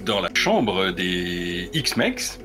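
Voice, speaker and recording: A man playing a character in a tabletop roleplaying game, describing his actions in a measured way. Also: low-pitched, very animated, music in the background. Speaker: player.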